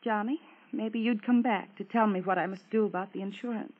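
A woman's voice: mid-range voice, steady tone, natural voice. Genteel American radio-drama widow, acted by a woman. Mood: soft, appealing. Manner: genteel, confiding, halting. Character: pretty, genteel, softly appealing newly widowed wife. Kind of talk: mid-century American radio melodrama acting. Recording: tinny, narrow-band old radio recording.

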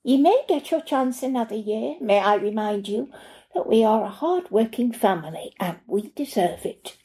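A woman's voice: a little high, lively intonation, moderate pace, warm tone, close to an everyday voice. A woman doing a haughty, well-off mother. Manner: haughty, dismissive. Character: imperious, self-satisfied well-off mother.

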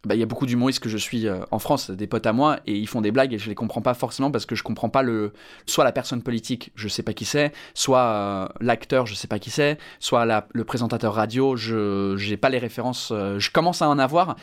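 A man's voice: medium pitch, brisk. A man in his thirties from England, a stand-up comedian speaking French with an English accent, answering interview questions with many fillers and restarts.

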